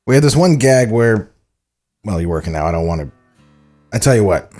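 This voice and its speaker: A man's voice: lowish voice, sing-song, a little fast, a little mellow, faint music behind. A man at a script table read playing a friendly, casual, slightly sheepish animator.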